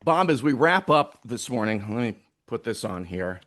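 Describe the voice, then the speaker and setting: A man with a medium-pitched voice hosts a casual interview. He speaks informally, in the easy back-and-forth of banter with a guest. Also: big pitch swings, normal speed, a bit bright. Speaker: show host, high school pitching coach.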